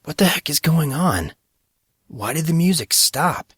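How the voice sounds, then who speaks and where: An earnest, anxious young male student, played by a male narrator in an audiobook. The voice sits high and asks things with urgency, in a very dry recording. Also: animated, unhurried, fairly bright tone, slightly rough, dry recording, exaggerated voice. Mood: confused.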